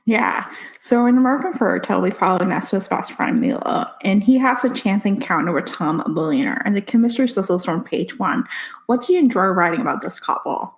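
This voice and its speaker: A woman's voice: medium pitch, expressive, brisk, poor audio. A woman from Puerto Rico hosting a book podcast, asking questions and sharing her own experiences in a casual interview. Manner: friendly, gives frequent quiet affirmations. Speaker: podcast host.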